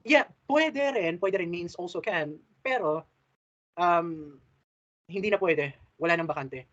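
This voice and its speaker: A man's voice: high voice, unhurried, fairly bright tone. A man with a Filipino accent tutoring casually, switching between Tagalog and English and often repeating his phrases. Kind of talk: casual lesson chat, mixing Tagalog and English. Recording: dull lo-fi call audio.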